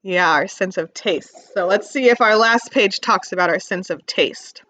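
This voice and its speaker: A woman teacher reading aloud to young children, expressively stressing key words and pausing to ask simple questions. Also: medium pitch, bright, forceful tone. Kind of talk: read-aloud lesson with questions to young listeners.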